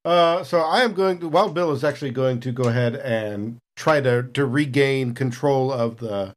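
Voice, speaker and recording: A man's voice: mid-range voice, moderate pace. A man chatting casually as a player in a tabletop role-playing game, describing his moves.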